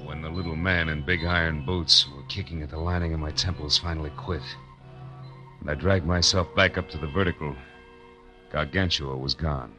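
An American actor playing a wry, cynical private detective in a 1940s radio drama, clipped and wisecracking. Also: male voice, a bass voice, fairly even intonation, normal speed, bright, forceful tone, muffled recording. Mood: wry narrating. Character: hard-boiled private detective, wry, cynical, unflappable man. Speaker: narrator and lead actor. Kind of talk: 1940s radio detective drama acting, first-person narration. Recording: vintage 1940s radio broadcast, music underneath.

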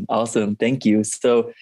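A man from the Czech Republic in his twenties with a Czech accent, a non-native English speaker telling his story as a podcast guest, halting with many fillers. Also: fairly low pitch, level tone, dark tone. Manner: halting, many fillers and restarts, laughs often. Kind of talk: casual podcast interview.

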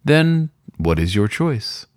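A man's voice: medium pitch, big pitch swings, takes it slowly. A man voicing a seductive, courtly weasel who is master of a haunted hotel, speaking in somber, whispered storybook dialogue. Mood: seductive, solemn.

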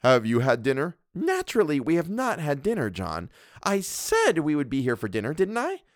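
A man's voice: on the high side, huge pitch swings, moderate pace, no room sound, studio quality, voice pushed up. A man reading a story and voicing an imperious, fussy middle-aged woman who scolds and condescends. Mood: haughty scolding.

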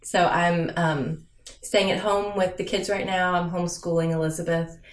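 A woman in her thirties, chatting casually and in a friendly, measured way with old college friends at a home. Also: on the low side, fairly even intonation. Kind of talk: casual chat. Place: home in Michigan. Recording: roomy echo.

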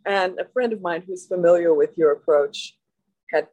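A woman's voice: on the low side, warm tone, dry recording, muffled recording. Candid woman in her seventies. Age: 70s. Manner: candid, reflective, halting. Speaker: guest. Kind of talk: interview.

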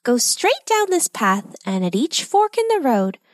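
Woman voicing a tiny squeaky storybook bird, high-pitched. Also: very animated, measured pace, dry recording, clean studio sound. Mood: gloating warning. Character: small squeaky talking blue bird, blunt and ominous. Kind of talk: children's storybook character voice.

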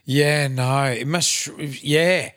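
A man's voice: fairly high pitch, steady tone, slow pace, fairly bright tone, no room sound, studio quality. An Australian man co-hosting a podcast, casually agreeing and trading swearing banter. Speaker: podcast co-host. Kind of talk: casual chat.

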